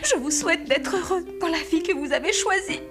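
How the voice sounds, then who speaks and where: An actress playing a heartbroken young sweetheart in a cartoon, plaintive and gently reproachful. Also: female voice, very high voice, lively intonation, fairly quick, loud music behind the voice. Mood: hurt, reproachful. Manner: plaintive, sorrowful. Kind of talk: French cartoon voice acting.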